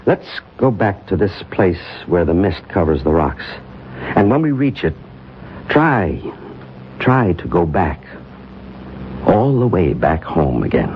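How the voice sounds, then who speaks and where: An actor in a 1970s radio drama playing an earnest diagnostician, questioning in a measured, insistent way. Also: male voice, sits low, big pitch swings, heavily acted. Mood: urging. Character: probing, earnest male diagnostician and confidant. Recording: dull, slightly hissy vintage radio recording.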